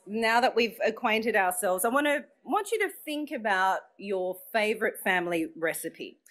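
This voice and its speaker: Lively Australian woman emceeing an event. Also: medium pitch. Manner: chatty, playful, engaging the room. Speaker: master of ceremonies at an event launch. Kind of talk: live speech to an audience. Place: function room with a live audience.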